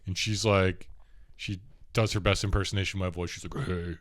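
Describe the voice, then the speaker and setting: A young man with a deep voice, a podcast host telling a rambling story in casual chat and laughing often. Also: steady tone, fairly quick, a bit bright, dry recording, studio quality.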